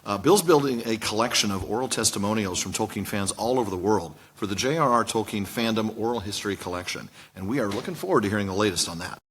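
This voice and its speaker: A man's voice: on the low side, lively intonation, quick pace, few pauses, slight background noise. A man co-hosting a live podcast interview in a casual, bantering, jokey style. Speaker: podcast co-host. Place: indoor conference event.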